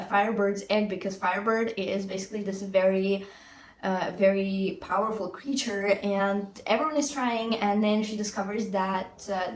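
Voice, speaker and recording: A woman's voice: mid-range voice, roomy sound, slight background noise, poor audio. A woman whose first language is Russian, reviewing books in a casual, rambling vlog with lots of fillers. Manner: chatty, rambling, many fillers. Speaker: book vlogger.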